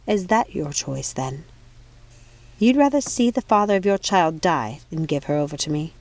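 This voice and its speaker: A woman voicing a cruel, commanding witch matriarch in an audiobook, speaking with deliberate, calm menace. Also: low-pitched. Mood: cold calm. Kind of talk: audiobook villain dialogue.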